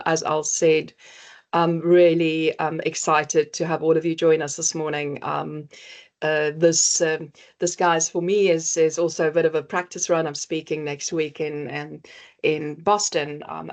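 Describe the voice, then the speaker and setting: A South African woman with a low voice presents a webinar to an online audience. She speaks in a halting way, full of ums and restarts, as she leads into her topic. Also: gravelly. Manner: hesitant, many fillers and restarts. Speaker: founder and CEO, webinar presenter.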